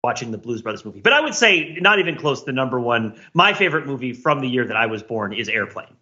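A man's voice: mid-range voice, sing-song, quick pace, bright, forceful tone, muffled recording. A man in his forties chatting casually as a podcast co-host. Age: 40s. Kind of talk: casual chat.

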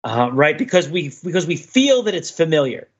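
A man co-hosting a podcast, giving casual, discursive pop-culture commentary in an animated, digressive and emphatic way. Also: on the high side, brisk, husky, lo-fi sound. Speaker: podcast co-host.

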